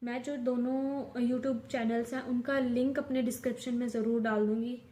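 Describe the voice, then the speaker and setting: A woman's voice: fairly high pitch, flat delivery, normal speed, dark tone. Young Indian woman, chatty advice vlog. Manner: chatty, mixes English words into her talk, many restarts. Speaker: vlogger. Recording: echoey room.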